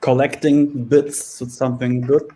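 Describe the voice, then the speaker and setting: Hesitant German-accented man, warm voice. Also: mid-range voice, little pitch movement, a little slow. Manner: hesitant, many fillers. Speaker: guest developer.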